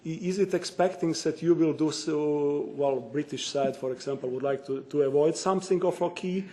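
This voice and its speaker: A man, a parliament member, asks a long question at a committee hearing, halting often with fillers and restarts. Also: on the high side, dark tone.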